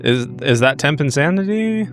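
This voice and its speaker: A man playing a tabletop roleplaying game, casually narrating his character with deliberate phrasing and some swearing. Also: on the high side, expressive, soft background music. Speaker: tabletop roleplay podcast player.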